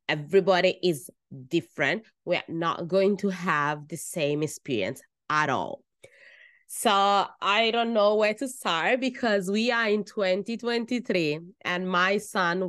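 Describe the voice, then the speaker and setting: A woman in her thirties with an Italian accent, a midwife telling her own story on a podcast in an earnest, softly emphatic way, pausing between phrases. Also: lowish voice, clean tone, dry recording, studio quality.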